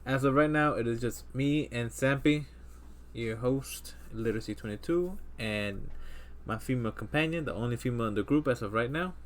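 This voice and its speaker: A man's voice: mid-range voice, moderate pace, a little hiss or hum. A man in his thirties hosting a casual podcast chat, rambling with lots of fillers and restarts. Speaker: podcast host.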